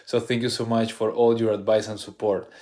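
A man's voice: fairly low pitch, level tone, a little fast, mellow. A man from Peru with a Peruvian Spanish accent, a chef guest talking enthusiastically in a casual podcast interview.

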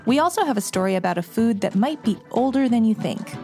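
A woman with a medium pitch reading scripted podcast trailer narration with music behind her. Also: expressive, fast, mellow.

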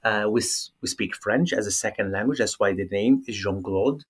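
A middle-aged man from Lebanon with a Lebanese accent and Arabic as his first language, answering interview questions earnestly and haltingly as he searches for words. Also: lowish voice, slightly rough. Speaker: yoga teacher, guest.

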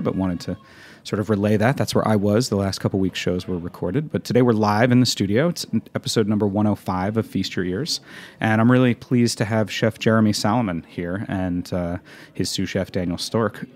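A man's voice: low voice, a little mellow. An American man hosting a food radio show, talking casually in a studio as he introduces and interviews guests. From New York, USA. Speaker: podcast and radio show host.

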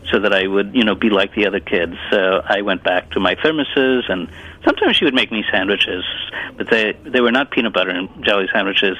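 A man's voice: lowish voice, sharp tone. A man from Italy whose first language is Italian, a cookbook author speaking over the phone in a casual interview, hesitant with many fillers and restarts. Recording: dull muffled phone line.

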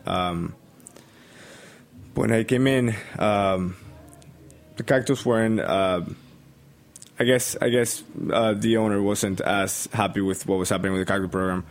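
A man's voice: lowish voice, fairly even intonation, a little slow, long pauses. A young man from Colombia with a Colombian Spanish accent, a bartender guest chatting hesitantly with many ums. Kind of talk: casual interview chat.

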